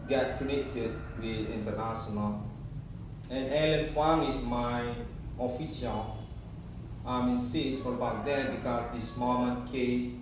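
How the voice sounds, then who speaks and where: Indonesian-accented man for an improvised, disjointed monologue; halting phrasing, recorded far from the mic with clear background noise. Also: mid-range voice. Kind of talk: rambling improvised monologue to camera. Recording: very echoey room, distant mic, clear noise.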